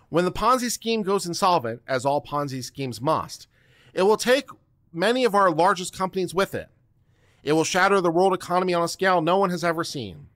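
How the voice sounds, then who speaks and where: Theatrical middle-aged man, lively pitch. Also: dry recording. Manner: theatrical, emphatic, declamatory. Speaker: host of a legal commentary livestream. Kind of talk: dramatic reading of a text aloud. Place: home studio room, close and dry. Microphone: desk microphone close to the mouth.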